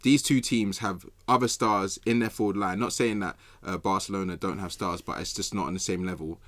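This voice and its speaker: Low, dry-sounding man chatting. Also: fairly even intonation, brisk, a bit bright, no room sound. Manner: colloquial, argumentative, checks listener follows. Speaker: football podcast panelist. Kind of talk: casual opinionated chat.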